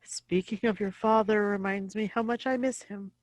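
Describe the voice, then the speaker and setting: A woman acting a gentle, devout middle-aged mother in an audio play, giving tender, measured counsel. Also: medium pitch, fairly even intonation, no room sound, natural voice. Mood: earnest, loving.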